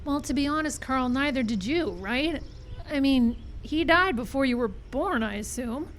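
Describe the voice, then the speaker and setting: A woman's voice: high-pitched, lively intonation, normal speed. A woman playing a frank, sensible friend in a live audio drama, speaking matter-of-factly. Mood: reassuring, skeptical. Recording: outdoor live stage, faint background noise.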